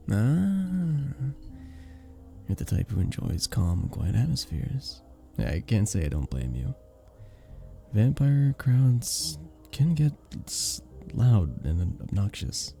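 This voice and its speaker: Charming male vampire bartender, acted by a man for ASMR roleplay: lowish, very soft dark tone, teasing and flirtatious, with lingering pauses. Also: vocal fry. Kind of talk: scripted ASMR roleplay, addressing the listener.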